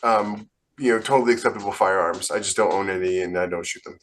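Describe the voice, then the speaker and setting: A man's voice: deep voice, quick pace, slight background noise. A man co-hosting a podcast, chatting casually in a matter-of-fact, opinionated way. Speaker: podcast co-host. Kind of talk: casual group podcast chat.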